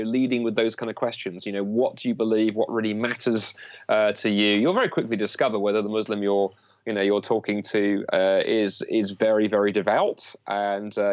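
A man's voice: lowish voice, no room sound. English man explaining in a dull, dry recording. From England. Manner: animated, explanatory, light humour. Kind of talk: radio interview.

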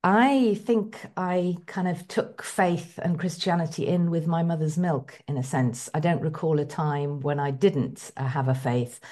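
A middle-aged woman from Iran, a bishop speaking as a guest in an interview, talking in a reflective, measured way with frequent pauses. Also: deep voice, darkish tone. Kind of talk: conversational interview.

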